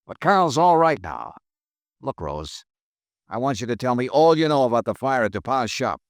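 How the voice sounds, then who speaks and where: A man's voice: medium pitch, sing-song, normal speed, no room sound. An actor playing a cool, dry male insurance investigator in a 1950s radio drama, asking terse, clipped questions. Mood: tense.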